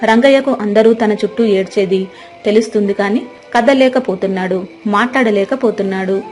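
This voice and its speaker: A woman with a mid-pitched voice narrates a scripted moral tale. Quiet music plays behind her storytelling. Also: moderate pace.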